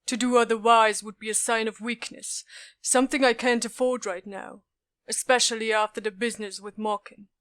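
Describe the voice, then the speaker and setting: A woman playing a stern, duty-bound military commander with a formal, measured manner in a fan audiobook. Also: fairly high pitch, a bit bright, clear, resonant tone, no echo, close to an everyday voice.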